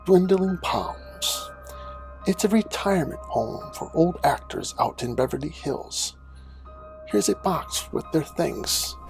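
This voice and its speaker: A sly devil of a gentleman for a cartoon. He drawls slowly and theatrically with breathy fry in his voice, falsely kind and mock-courteous, over faint music. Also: a little high, lively intonation, full character voice, low-quality recording. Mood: amused, condescending.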